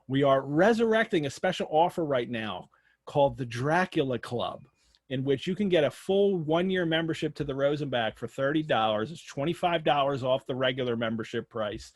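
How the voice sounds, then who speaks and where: A man's voice: medium pitch, lively intonation, moderate pace, dry recording. An American man hosting a live online show, chatting through announcements and questions in a conversational way. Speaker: host of an online literary show. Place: remote online video call.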